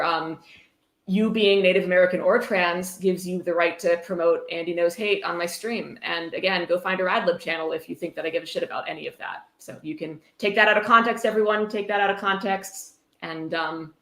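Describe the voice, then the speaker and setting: A woman's voice: on the low side, fairly bright tone. A woman hosting a casual livestream, reading passages aloud and commenting in a sarcastic, irreverent way with free swearing. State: tipsy. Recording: roomy, echoey room sound.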